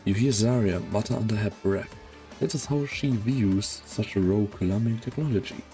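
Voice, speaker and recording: A man narrating a game story in a measured, solemn, dramatic scripted style. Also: fairly low pitch, mellow, soft background music.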